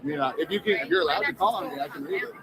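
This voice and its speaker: A man's voice: on the high side, very fast, rough recording. A man at a public meeting chatting casually and urging a friend on emphatically. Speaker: meeting attendee. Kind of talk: casual chat. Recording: loud crowd chatter behind.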